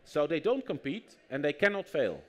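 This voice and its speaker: Dutch-accented male guest author, somewhat high pitch, animated storyteller who acts out quotes, public talk setting. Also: normal speed. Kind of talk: conversational interview on stage.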